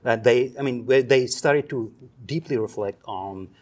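A middle-aged man with a German accent talks through his ideas slowly, stopping often on "um" and "uh." His pitch sits at an ordinary middle level for a man, and he sounds like a reflective academic guest. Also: darkish tone. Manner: reflective, pausing with many ums and uhs. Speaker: guest, psychology professor. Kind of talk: thoughtful interview answers.

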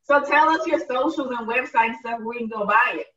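A woman co-hosting a podcast, asking the guest questions in a relaxed way. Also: fairly high pitch, brisk, roomy sound, distant mic, dull audio. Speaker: podcast co-host. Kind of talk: casual interview chat.

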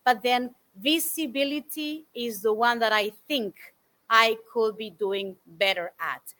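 A woman in her forties from Argentina, guest on an interview show, telling stories in an animated, thoughtful way with many fillers. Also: fairly high pitch, unhurried. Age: 40s. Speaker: guest speaker. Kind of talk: interview answers, storytelling.

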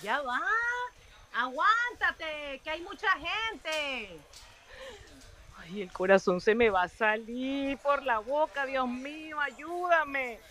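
A woman's voice: sits high, expressive, slow pace, crowd noise. A woman playing a lovestruck, flustered young waitress in a humorous radio soap, melodramatic and swooning.

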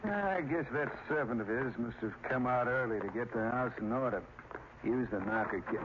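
An actor playing a weary, plain-spoken small-town sheriff in an old radio drama, with a folksy, hesitant manner. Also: male voice, medium pitch, moderate pace, lightly acted. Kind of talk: 1940s radio drama acting. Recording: dull, hissy old radio recording.

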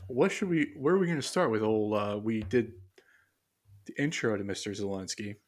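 A man with a fairly low voice talks in a loose, rambling way, thinking out loud. He pauses on fillers and restarts his sentences while working through his notes. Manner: muses aloud while reading from notes. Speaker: podcast co-host. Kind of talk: casual podcast chat.

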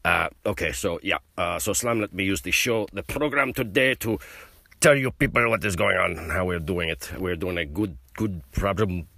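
Guy doing a fake host, super deep voice, rambles and says uh a lot. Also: vocal fry, pushed and bright, no echo, exaggerated voice. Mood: rambling. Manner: rambling, halting, many fillers. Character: mock Russian man hosting a comedy show. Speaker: comedy show host. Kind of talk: improvised comedy monologue.

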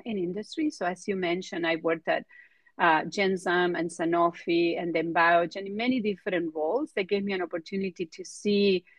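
A middle-aged woman with an Argentine accent and Spanish as her first language, answering interview questions conversationally in halting phrases with repeated words. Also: lowish voice, no echo. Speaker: interview guest, biotech executive.

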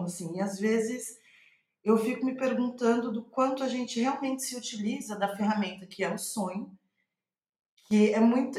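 A woman with a Brazilian Portuguese accent in a reflective interview, thinking aloud haltingly and trailing off. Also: on the high side, echoey room. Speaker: playwright, podcast guest.

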